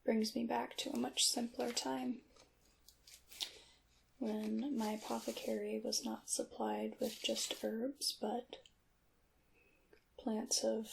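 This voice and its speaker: A woman performing a soft, breathy ASMR roleplay as a calm witch apothecary, often whispering and speaking gently and deliberately to the listener. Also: a little high, a little hiss or hum, low-quality recording.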